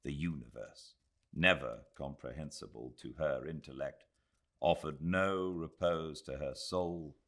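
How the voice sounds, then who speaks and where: A British man reading literary narration as an audiobook narrator, measured and expressive. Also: rumbling low, vocal fry. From Britain.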